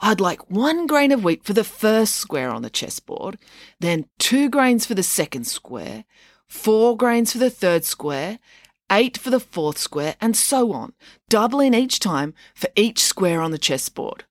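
A woman voicing a humble, crafty male trader in a storybook tale, modest and deliberate. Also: medium pitch, very animated, no echo, studio quality. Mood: bargaining.

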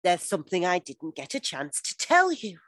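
A woman voicing a lovesick, earnest adolescent boy reading out a love letter in a drawn-out, overwrought way. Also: on the low side, wildly swooping pitch, a bit bright, no echo.

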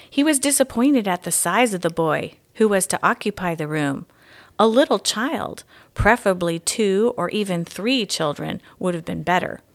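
A woman with a medium-pitched voice reads aloud for children. She is a guest reader, and her delivery is that of a storybook audiobook.

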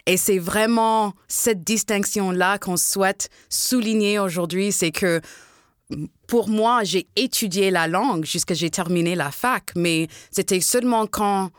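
A woman's voice: mid-range voice, a little slow, clear, resonant tone, no echo, clean studio sound. A woman moderating a panel discussion in an encouraging, thoughtful way.

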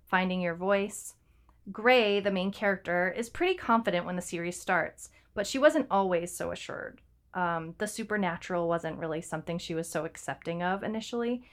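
A woman co-hosting a podcast, chatting casually with frequent ums and restarts. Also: on the low side. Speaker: podcast co-host. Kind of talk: casual chat.

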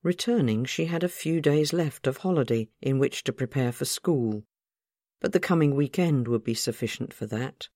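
A woman reading literary fiction aloud as a scripted narration, in a measured, careful storytelling manner. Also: rumbling low, lively intonation, warm tone, no echo, studio quality. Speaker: author reading own short story.

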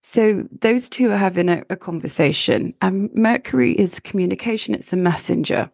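A woman astrologer hosting her own podcast, her voice croaky from illness, chatting and rambling with frequent restarts and fillers. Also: medium pitch, normal speed, darkish tone, no echo, clean studio sound. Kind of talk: solo podcast talk.

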